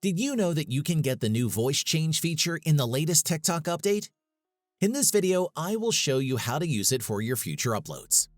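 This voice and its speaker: Lively higher-pitched man narrating a tutorial. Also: moderate pace, dry recording, clean studio sound. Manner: instructional, step-by-step. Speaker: tutorial host. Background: background music.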